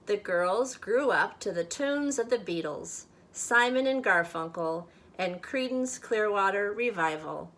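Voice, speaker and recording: A woman from a church talking to children and reading a storybook aloud in a deliberate, expressive way. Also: mid-range voice. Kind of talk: children's read-aloud and friendly talk to kids.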